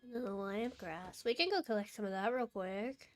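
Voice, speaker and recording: A woman's voice: medium pitch, moderate pace, a little hiss or hum. A woman streaming a game, talking casually and apologetically to her chat while congested and sniffly from a cold. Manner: chatty, apologetic, easygoing.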